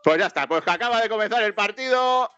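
A man's voice: high voice, lively intonation, quick pace, bright, forceful tone, clean tone, dry recording, dull audio. A man from Spain with a Spanish accent, chatting casually and colloquially as a football commentator, often repeating himself. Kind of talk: casual match chat and live commentary.

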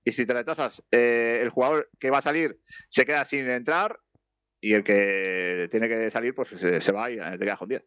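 A man with a Spanish accent chatting casually over a live football match, rambling and lifting excitedly at the plays. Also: medium pitch, normal speed, no room sound, muffled recording. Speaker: co-host of a live football watch-along.

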